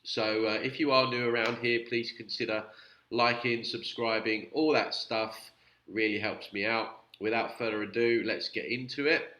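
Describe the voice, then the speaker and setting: A man's voice: medium pitch, steady tone, normal speed, pushed and bright, roomy sound. A man with a cold, sounding nasal, hosting a chatty, rambling commentary on his channel. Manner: chatty, rambling, with many fillers. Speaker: YouTube channel host reviewing videos. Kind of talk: casual vlog commentary.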